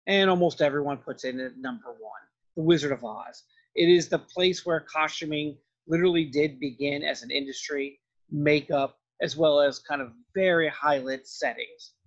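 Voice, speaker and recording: A male professor with a fairly high voice gives an informal lecture. He explains in a loose, rambling way and poses questions to the listener. The sound is somewhat dull and lo-fi. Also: normal speed. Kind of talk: informal film-costume lecture. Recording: dull lo-fi audio.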